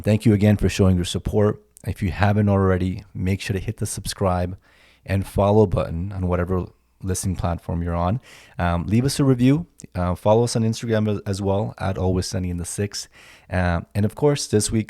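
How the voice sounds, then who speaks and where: A man hosting his podcast in a studio, talking in a relaxed, casual way with lots of "um" and "you know" and repeated words. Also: deep voice, normal speed. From Toronto area, Canada. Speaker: podcast host. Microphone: studio headphones and mic.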